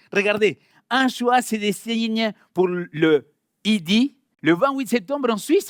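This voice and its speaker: Argentine-accented pastor guy, fairly high voice, chatty sermon, dry close sound. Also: no room sound. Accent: Argentine Spanish. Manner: conversational, addresses the congregation directly. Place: church hall.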